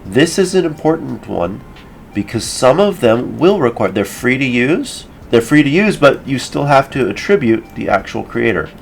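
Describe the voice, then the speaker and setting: A man hosting a casual software tutorial, explaining each step in an informal way. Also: medium pitch, creaky, a little hiss or hum. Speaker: tutorial host. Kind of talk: casual screen-recorded software tutorial narration.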